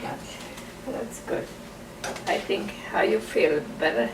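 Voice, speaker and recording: A woman's voice: mid-range voice, takes it slowly, vocal fry, background noise. An older woman from Croatia with a Croatian accent, teasing in broken English during a casual chat at home. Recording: roomy room from a distance with loud clatter.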